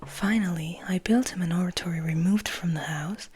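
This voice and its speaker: A woman reading a story aloud as soft ASMR, in a breathy, mostly unvoiced whisper with gentle, unhurried delivery. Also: lowish voice, slight background noise. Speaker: ASMR host and reader.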